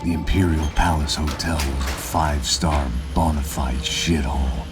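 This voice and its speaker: An actor playing a world-weary, cynical middle-aged American ex-cop in a noir video game, with a sardonic, terse delivery. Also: male voice, very deep voice, fairly even intonation, normal speed. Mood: bitter, brooding. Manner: sardonic, hard-boiled, terse. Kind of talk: noir game dialogue and narration. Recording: loud music behind the voice.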